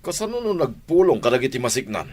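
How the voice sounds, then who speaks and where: A man's voice: mid-range voice, big pitch swings, quick pace, darkish tone. A man acting a fearful, reluctant household servant in a radio drama, sounding worried.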